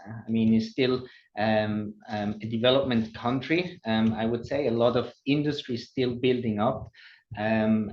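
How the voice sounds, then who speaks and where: Swiss-accented man, hesitant and halting. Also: mid-range voice, lo-fi sound. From Switzerland. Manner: hesitant, many ums and uhs. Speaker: guest. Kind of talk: podcast interview.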